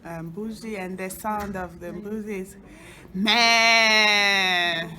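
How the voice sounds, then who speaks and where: A woman's voice: medium pitch, fairly even intonation, takes it slowly, pushed and bright. A woman from the Democratic Republic of the Congo, introducing herself hesitantly with many fillers. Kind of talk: short self-introduction. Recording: crowd chatter behind.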